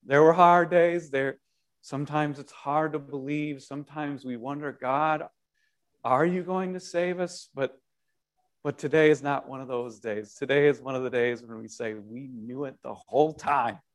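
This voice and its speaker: A man preaching an Easter sermon as pastor, animated, moving between loud proclamation and quiet, self-deprecating conversational asides. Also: mid-range voice, moderate pace. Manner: animated preaching with wide swings in loudness.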